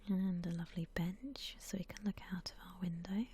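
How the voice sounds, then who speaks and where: A woman whispering gently and unhurriedly in a soft, breathy ASMR style, musing aloud as she comments on a game. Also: on the low side, steady tone, moderate pace, slight background noise. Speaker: host of an ASMR gaming channel.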